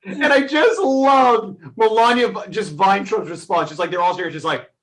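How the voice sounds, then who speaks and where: A man's voice: sits high, moderate pace, fairly bright tone, low-quality recording. A man chatting casually as co-host of a film review discussion.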